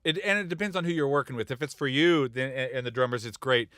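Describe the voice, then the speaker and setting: A man's voice: medium pitch, fast talker, sharp tone, no echo. A man talking shop as a music producer in a casual studio chat, blunt and rambling, with frequent chuckles.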